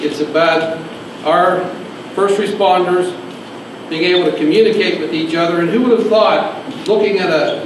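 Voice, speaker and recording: A man's voice: on the high side, recorded from a distance. A man speaking as a county commissioner at a press conference, earnest and grateful. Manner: earnest, thanking partners. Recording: echoey room, mic from afar, noisy.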